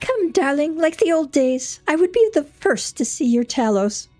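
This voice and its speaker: A woman's voice: high-pitched, very animated, moderate pace, dark tone, no room sound, faint music behind, voice pushed up. A woman voicing a devoted, determined wife in a video game, tender and insistent. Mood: tender, coaxing.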